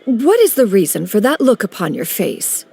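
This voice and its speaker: A woman's voice: medium pitch, wildly swooping pitch, normal speed, gravelly, noisy background. A woman voicing an ancient, proud female bird spirit who speaks in a pompous, archaic manner, calling herself one. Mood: haughty. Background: game music.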